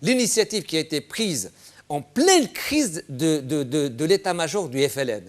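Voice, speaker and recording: A man's voice: fairly high pitch, very animated, moderate pace. A man speaking as a guest in a political debate, emphatic and repeating phrases insistently. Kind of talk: impassioned political discussion.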